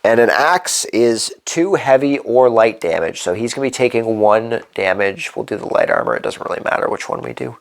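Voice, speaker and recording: A man's voice: medium pitch, animated, normal speed, gravelly, hardly any pauses. A congested man hosting a solo board game playthrough, thinking aloud as he works through the rules and dice rolls. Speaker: board game channel host.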